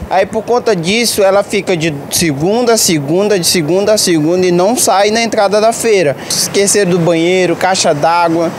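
A man's voice: high voice, normal speed, few pauses. A man, answering casually in an outdoor market interview. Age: 20s. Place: open-air fruit market. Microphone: handheld reporter microphone. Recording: clear outdoor street noise.

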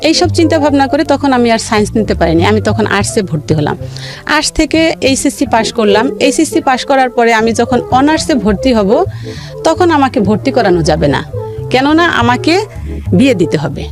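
A woman recounts her life as an interview guest, with music clearly behind her. Her voice is high and moves up and down freely as she pauses and repeats key phrases. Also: animated. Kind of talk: personal storytelling in a radio interview.

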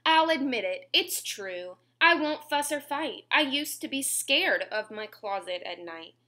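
Bright, animated young woman reading aloud. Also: high-pitched, measured pace. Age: 20s. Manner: expressive, clearly phrased for young children. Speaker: children's story reader. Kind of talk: read-aloud picture book narration. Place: small room at home, indoors.

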